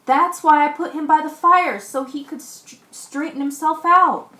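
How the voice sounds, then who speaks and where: Woman as a high-voiced, level-headed sailor, echoey. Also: unhurried, pushed and bright. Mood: urgent, firm. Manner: earnest, stumbles over scripted lines. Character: level-headed shipwrecked sailor, commanding and practical. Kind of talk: amateur radio drama acting. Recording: echoey room recording.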